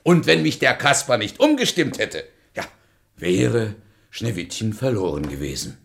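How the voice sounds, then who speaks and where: A man's voice: mid-range voice, big pitch swings, measured pace, vocal fry, a bit bright, full character voice. A man playing a patronizing, matter-of-fact royal huntsman in a fairy-tale radio play.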